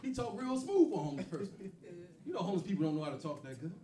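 A man's voice: high-pitched, big pitch swings, racing, very noisy. A man in his thirties doing a loose, profane stand-up set on a small club stage, with a handheld mic. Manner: loose, profane, storytelling with act-outs. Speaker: stand-up comedian. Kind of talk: stand-up comedy routine. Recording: roomy club, distant mic, loud laughter.

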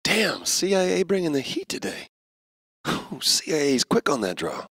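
An actor playing a gruff, wry, laconic American Marine in video game battle chatter. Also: male voice, a little high, big pitch swings, normal speed, a bit bright, no echo, heavily acted. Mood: wry, casual.

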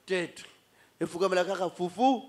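Animated Congolese preacher man, high voice. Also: normal speed. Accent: Congolese French. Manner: animated, mixing Lingala and French, gestures while preaching. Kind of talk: sermon. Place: church hall with congregation. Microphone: handheld mic on a stand.

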